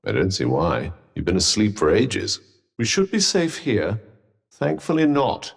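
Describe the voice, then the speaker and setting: A man's voice: fairly low pitch, huge pitch swings, measured pace, creaky, husky, long reverb tail, heavily acted. A man voicing a sardonic male talking magic bracelet in a video game, with dry teasing and arch, formal phrasing.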